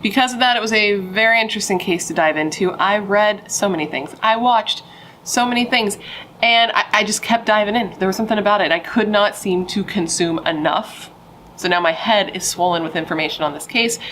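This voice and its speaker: A woman's voice: medium pitch, fairly quick, fairly bright tone, slight background noise. A young adult woman, a pregnant true crime YouTuber, chatting playfully with dramatic asides and casual swearing.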